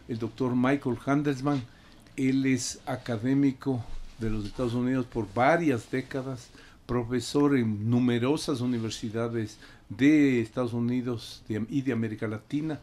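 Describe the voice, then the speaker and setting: A man hosting an academic radio interview in a courteous, halting manner, searching for his words. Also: medium pitch, darkish tone. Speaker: radio program host and interviewer.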